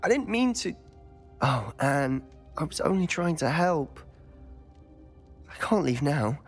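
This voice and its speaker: Impulsive teen wizard boy, highish voice, very varied pitch, emotional, pleading, game drama. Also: sing-song, takes it slowly, breathy edge, soft background music, exaggerated voice. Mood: distraught, pleading. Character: anguished, impulsive teenage schoolboy wizard. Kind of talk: dramatic video game dialogue.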